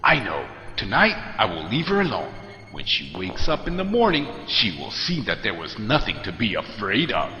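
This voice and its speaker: A man playing the warm, reassuring father of a young girl in an expressive storybook reading. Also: fairly low pitch, normal speed, echoey room, long reverb tail, muffled recording, soft background music, exaggerated voice. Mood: weary. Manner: soothing, expressive.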